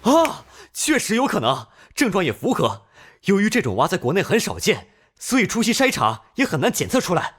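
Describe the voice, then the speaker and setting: A man voicing a courteous, deferential hospital doctor with a husky voice in an animated crime drama. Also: sits high, fairly quick, exaggerated voice. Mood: agreeable, obliging.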